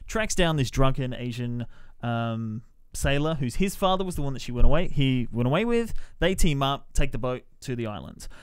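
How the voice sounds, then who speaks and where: A man's voice: mid-range voice, expressive, moderate pace, smooth, dry recording. A man hosting a podcast, giving a casual, sweary spoken review and acting out bits of dialogue as he goes. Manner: sweary, mimics characters in quotes. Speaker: podcast host reviewing films. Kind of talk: casual spoiler-filled movie review chat.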